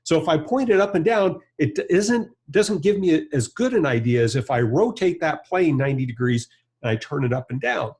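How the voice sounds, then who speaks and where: Animated American man, higher-pitched voice. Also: fairly quick. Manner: plain-spoken, explanatory, conversational. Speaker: trainer and presenter. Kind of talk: hands-on product tutorial. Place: indoor studio or workshop with backdrop and bench.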